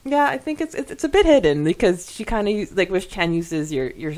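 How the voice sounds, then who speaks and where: A woman's voice: medium pitch, a little mellow. A woman co-hosting a podcast, chatting casually and reading listener comments in a giggly, effusive manner. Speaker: podcast co-host.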